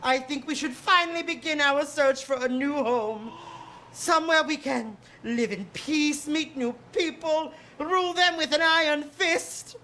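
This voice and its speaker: A woman's voice: sits high, unhurried, bright, forceful tone, a little hiss or hum. A woman playing a gloating, grandiose villain in a comic stage parody. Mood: smug, gloating. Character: gloating, grandiose tyrant villain with petty hobbies.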